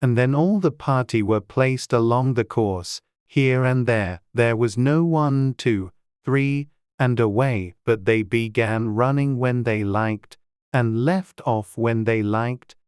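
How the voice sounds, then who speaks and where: A man narrating a classic children's story in a gentle, even storytelling manner. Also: mid-range voice, takes it slowly, smooth, no echo, studio quality.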